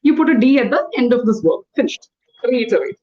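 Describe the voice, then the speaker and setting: Woman, trainer, high voice, patient corrective teacher, muffled lo-fi sound. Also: a little fast. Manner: patient, corrective, spells words out letter by letter. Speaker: English trainer. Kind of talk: one-on-one English lesson.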